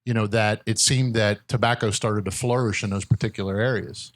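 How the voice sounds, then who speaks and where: The easygoing American man who hosts a chat show from a small dead-sounding room, his voice on the low side. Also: fairly even intonation, fairly quick, no room sound. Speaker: interviewer, host. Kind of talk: casual chat.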